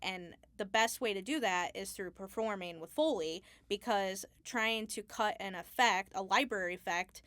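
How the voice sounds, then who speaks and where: A woman's voice: mid-range voice, normal speed, hardly any pauses, a bit bright, no echo. A woman chatting informally as an interview guest, thinking aloud about her craft in an unscripted way. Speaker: Foley artist, podcast guest. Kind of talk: casual interview chat.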